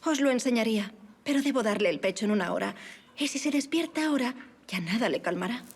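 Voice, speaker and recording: High-voiced, playful young marquise. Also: female voice, animated, quick pace, slightly rough. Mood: breezy. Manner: poised, playful, teasing. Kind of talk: audio drama acting.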